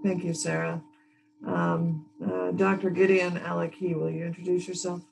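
A woman in her thirties hosting a training workshop over a video call, warmly introducing each participant in turn. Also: on the low side, flat delivery, normal speed, creaky, slight background noise, low-quality recording. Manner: friendly, thanks each speaker. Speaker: workshop host.

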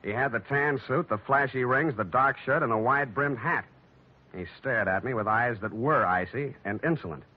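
An actor playing a wry, unflappable hard-boiled private detective, deadpan and wisecracking, in 1940s radio style. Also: male voice, medium pitch, normal speed, natural voice. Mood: wry narration. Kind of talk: 1940s radio acting with first-person narration. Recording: dull vintage radio recording.

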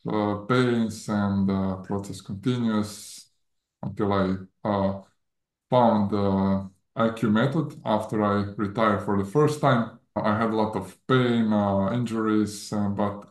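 Croatian man, 30s, unhurried and halting, roomy video-call sound. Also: fairly low pitch. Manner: hesitant, many fillers, non-native grammar. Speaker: guest. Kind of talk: casual interview. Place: home room with plants.

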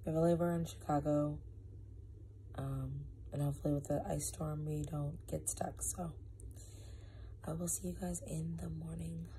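A woman's voice: deep voice, flat delivery, normal speed, noisy background. A young woman in her twenties talking casually into a phone held at arm's length at a resort. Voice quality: raspy in the morning. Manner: soft, half-whispered at night. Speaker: travel vlogger. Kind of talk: casual selfie vlog. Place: hotel rooms and resort grounds.

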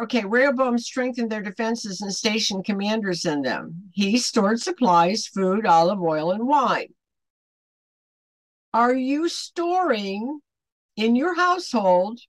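An older woman in her seventies leads an online Bible study in an earnest, questioning way. She speaks slowly, with lively swings in pitch that stress her points. The sound is dry and close, as in a small room. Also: no echo, low-quality recording. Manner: earnest, deliberate, emphatic questioning. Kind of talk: devotional teaching to camera, with pointed questions. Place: small home room, indoors.

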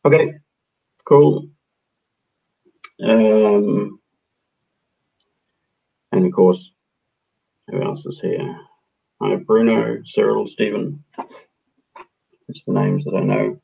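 Deep-voiced husky male call host, 30s, relaxed; dull headset audio. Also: slow pace, long pauses. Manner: relaxed, chatty. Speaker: meeting host. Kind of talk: casual video call discussion. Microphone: headset on video call.

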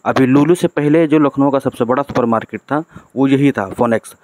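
A man's voice: mid-range voice, normal speed, a little mellow, no echo. A man from narrates a casual road-trip vlog from a moving vehicle. He talks in a chatty way and keeps adding "theek hai" as a check-in tag. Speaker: travel vlogger.

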